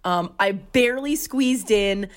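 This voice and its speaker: A woman's voice: mid-range voice, slow. A woman co-hosting a podcast with a nasal, stuffed-up voice from a head cold, upbeat and laughing easily. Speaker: podcast co-host. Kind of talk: casual chat.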